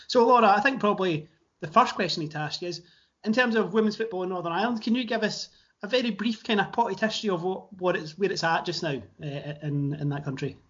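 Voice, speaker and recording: A man's voice: sits high, quick pace, a bit bright, dull audio. A man hosting a casual podcast interview, chatty with fillers and restarts. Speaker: podcast host.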